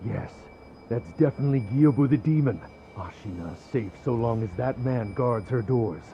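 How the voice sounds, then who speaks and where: A man's voice: medium pitch, moderate pace, lo-fi sound, slight background noise, lightly acted. A man voicing a wary castle soldier on lookout in a video game, speaking in a subdued, matter-of-fact way. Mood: watchful. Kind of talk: dramatic video game voice acting.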